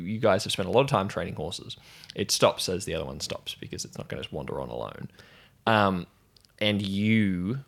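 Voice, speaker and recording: A man narrating a tabletop roleplaying game as game master, telling the story suspensefully in the second person with deliberate pauses. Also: on the low side, fairly bright tone, slight background noise. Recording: prominent music under the voice. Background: music.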